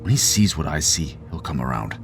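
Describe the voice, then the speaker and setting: A man's voice: extremely low pitch, big pitch swings, a little fast, soft background music. An actor playing a stern, bitter middle-aged father in an audio drama, brooding, clipped and authoritarian. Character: stern, embittered, domineering father and political activist. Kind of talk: scripted audio drama acting.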